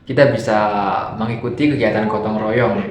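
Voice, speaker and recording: A man's voice: lowish voice, steady tone, moderate pace, background noise. A young man from Purwokerto with a Banyumasan ngapak Javanese accent, a guest on a casual podcast, explaining things in an easygoing way. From Purwokerto, Central Java. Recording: echoey room.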